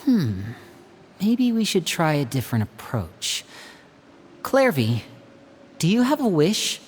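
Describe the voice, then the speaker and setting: An actor playing a young male stage magician who is playful and protective, using a smooth, coaxing showman's delivery. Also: high voice, wildly swooping pitch, slow, slight background noise, exaggerated voice. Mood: planning. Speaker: older brother planning a rescue. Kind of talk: video game dub acting.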